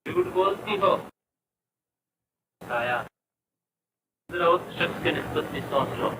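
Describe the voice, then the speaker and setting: A man's voice: fairly high pitch, lively intonation, takes it slowly. Intense anguished guy from an old melodrama movie, voice swings all over, noisy distant recording. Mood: anguished, probing. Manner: theatrical, emphatic repetition. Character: intense, tormented man, devoted and anguished benefactor. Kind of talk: old Hindi film melodrama acting. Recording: echoey, distant, noisy old film soundtrack.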